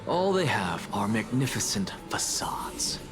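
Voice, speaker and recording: A haughty, dying young scholar from a story game. His voice sits low and he speaks slowly, with dry, skeptical bluntness. Music plays clearly behind him. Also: sing-song. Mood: dry, irritated. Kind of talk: video game story acting.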